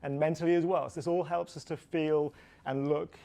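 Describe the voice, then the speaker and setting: A man in his fifties, a personal trainer explaining fitness in an energetic, motivational way. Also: fairly high pitch, moderate pace, gravelly. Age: 50s. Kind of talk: expert explaining.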